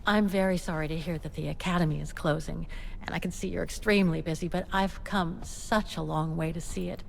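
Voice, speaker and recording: Polite, determined heroine, lowish voice. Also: female voice, steady tone, fairly quick, creaky, in a large hall, slight background noise. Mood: earnest, pleading. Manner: polite, earnest, conversational. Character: polite, determined female visitor, adventure game heroine. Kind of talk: video game dialogue acting.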